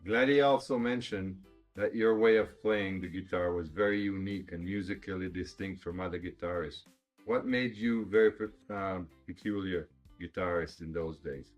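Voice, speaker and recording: Man, interviewer, low voice, calm questions, faint music behind. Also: moderate pace.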